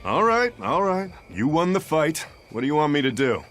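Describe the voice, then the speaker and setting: An actor playing a swaggering, taunting rogue fighter in a video game, slangy and carefree. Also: male voice, on the high side, sing-song, moderate pace, fairly bright tone, soft background music. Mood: amused. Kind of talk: English video game voice acting.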